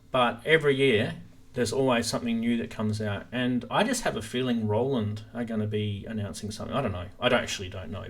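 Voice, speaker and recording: A man hosting a casual live stream about gear, talking informally and enthusiastically straight to his viewers. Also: medium pitch.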